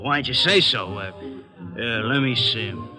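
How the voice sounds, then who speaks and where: A surly, streetwise barkeep as a male actor plays him in a vintage radio detective show. His talk is blunt and slangy, his pitch middling. Music plays clearly in the old recording. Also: lively intonation, moderate pace, close to an everyday voice. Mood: gruffly helpful. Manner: blunt, tough-guy talk with bad grammar. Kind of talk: old-time radio drama acting.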